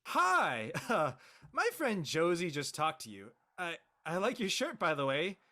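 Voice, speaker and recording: A man's voice: fairly high pitch, huge pitch swings, unhurried, sharp tone, heavily acted. Guy acting as a flustered teen boy in a drama, stammery n nervous, super up and down voice. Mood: nervous, eager. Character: flustered, awkward teenage boy, easily embarrassed. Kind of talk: audio drama acting, casual dialogue.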